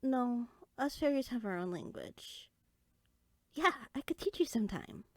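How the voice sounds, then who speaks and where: A woman's voice: on the high side, lively intonation, unhurried, no echo. Woman playing a chatty, eager little fairy, close and dry. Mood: friendly, eager. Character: excitable, inquisitive young female fairy sorcerer, friendly and bookish. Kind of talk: one-sided roleplay audio drama, conversational.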